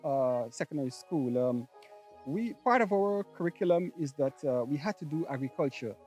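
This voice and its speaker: Guy, high voice, lots of ums, chatty, soft music behind. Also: expressive, moderate pace. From Guyana. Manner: hesitant, many ums, laughs easily. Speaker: guest. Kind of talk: casual storytelling chat. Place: outdoors on a farm.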